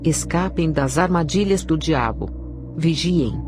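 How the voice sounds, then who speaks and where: A woman giving a scripted narration of a religious testimony in a solemn, measured way. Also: deep voice. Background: background music.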